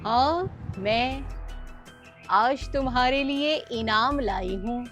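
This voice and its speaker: A woman's voice: a little high, extremely slow, fairly bright tone, faint music behind. A woman playing a sly, scheming stranger who lures children, in an acted moral-story skit. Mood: coaxing.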